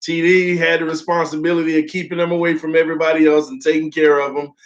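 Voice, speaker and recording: Young male stream host, fairly high, flat-voiced, loose and friendly with steady "um" fillers, voice still sore from illness, muffled lo-fi sound. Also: fast talker. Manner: loose and friendly, heavy "um" fillers, calls viewers "man" and "y'all". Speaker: host of a sports fan livestream. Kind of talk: casual livestream chat, reading viewer comments. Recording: dull lo-fi stream audio.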